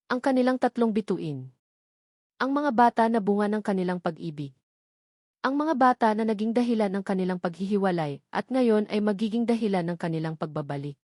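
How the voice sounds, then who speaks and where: A woman narrates a dramatic romance in a scripted storybook style. Her pitch sits in the middle range and her delivery is measured and sentimental. The sound is very dry, with no room on it. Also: darkish tone, no room sound.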